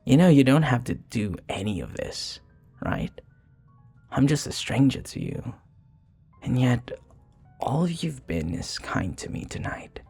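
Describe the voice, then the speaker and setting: A man's voice: medium pitch, very animated, a little slow, vocal fry, pauses often, darkish tone, breathy edge, faint music behind, heavily acted. A man playing a charming, sincere vampire bartender who flirts tenderly and hesitantly in an intimate ASMR roleplay. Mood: shy, smitten.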